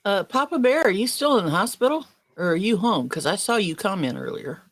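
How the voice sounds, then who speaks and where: Blunt, playful man with a high voice. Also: sing-song, a little fast, a bit bright, no room sound. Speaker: podcast panelist. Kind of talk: casual banter on a livestream.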